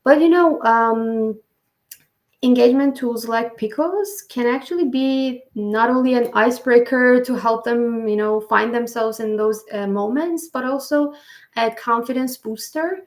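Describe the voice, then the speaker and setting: A woman hosting a podcast interview, speaking in halting phrases full of fillers. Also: fairly high pitch. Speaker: podcast host. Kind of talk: interview conversation. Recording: echoey room.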